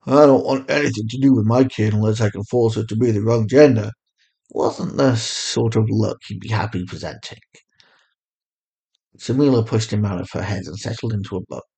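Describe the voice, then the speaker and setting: A man's voice: fairly low pitch, gravelly, dark tone. A man reading scripted fiction as a story narrator.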